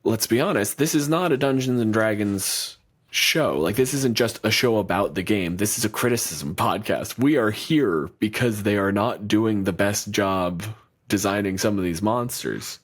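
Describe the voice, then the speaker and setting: A man's voice: on the low side, normal speed. A man co-hosting a podcast in casual chat, blunt and profane, riffing with lots of energy. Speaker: podcast co-host.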